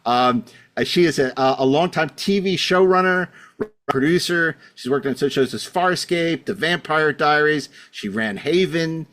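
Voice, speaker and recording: A man's voice: a little high, a little slow, a bit bright. A middle-aged man hosting a podcast, introducing things casually in a rambling, wry, self-deprecating way. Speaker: podcast host.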